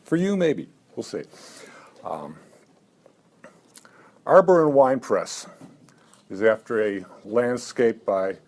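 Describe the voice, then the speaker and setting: A man's voice: medium pitch, darkish tone. Older man reading his own poems. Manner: dry, self-mocking asides between poems; halting talk with many "uh" fillers. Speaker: poet. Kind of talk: live poetry reading. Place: poetry venue, live reading.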